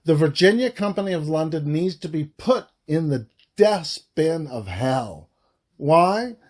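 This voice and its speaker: A man reading a historical book aloud as its narrator, with deliberate, emphatic delivery in short halting phrases. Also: fairly high pitch, very animated, darkish tone. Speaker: audiobook reader, author of the book.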